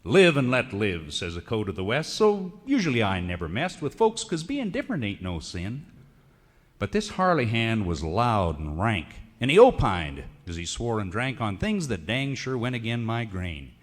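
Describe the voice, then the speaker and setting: A man recites humorous cowboy verse with an American West accent and a folksy drawl. His voice sits a little low and swings widely for theatrical emphasis. The sound rings with long hall reverb. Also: sing-song, normal speed. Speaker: cowboy poet. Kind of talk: comic narrative poetry recitation. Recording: reverberant hall recording of a radio show.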